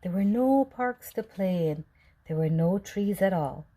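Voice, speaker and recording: Woman doing kids story read-aloud, really unhurried, expressive, medium pitch. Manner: deliberate, expressive phrasing. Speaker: storybook reader.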